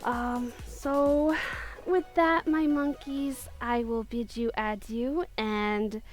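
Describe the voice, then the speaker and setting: A woman's voice: a little high, fairly even intonation, takes it slowly, soft background music. A woman casually chatting through her favorite picks, gushing and giggly, often restarting her sentences. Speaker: video creator. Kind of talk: casual countdown chat.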